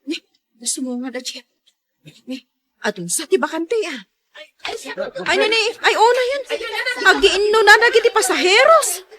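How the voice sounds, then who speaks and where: A woman's voice: very high-pitched, expressive, normal speed, pauses often, a bit bright. A woman with an Ilocano accent playing a bossy, complaining, demanding wife in a radio drama. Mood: fussy, put out. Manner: bossy, exclamatory, grumbling. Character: sharp-tongued, demanding wife, fussy and complaining about neighbours.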